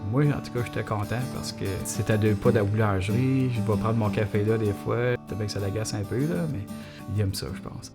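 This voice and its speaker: A man's voice: fairly low pitch, steady tone, brisk. A middle-aged man, a baker talking in a homey, chatty way in a documentary interview. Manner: homey, chatty, trails sentences with tag words. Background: guitar music.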